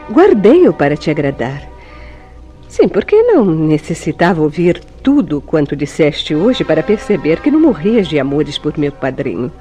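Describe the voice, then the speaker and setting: A woman's voice: low-pitched, warm tone. A Brazilian woman acting an evasive, coquettish newlywed wife in a radio soap opera, with theatrical, formal delivery. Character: evasive, coquettish newlywed wife placating her jealous husband. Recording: radio drama with music behind.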